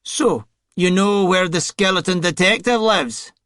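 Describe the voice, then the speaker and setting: A man putting on a sneering, high-pitched thug sorcerer. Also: measured pace, a bit bright, no room sound. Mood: scornful. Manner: taunting, contemptuous. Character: sneering brutish male sorcerer thug, menacing.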